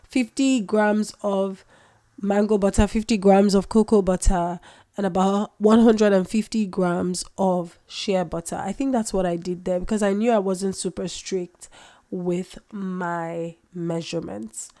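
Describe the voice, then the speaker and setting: Woman host, has a cold, mid-pitched, chatty and self-correcting. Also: fairly even intonation, measured pace, dark tone. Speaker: skincare maker, channel host. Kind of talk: casual tutorial vlog.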